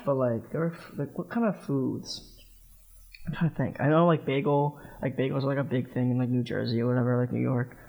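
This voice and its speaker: A man's voice: medium pitch, steady tone, brisk, vocal fry, rough recording. A young man co-hosting a college radio show, rambling casually with many fillers. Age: young adult. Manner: meandering, filler-heavy, soft-spoken. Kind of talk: casual chat.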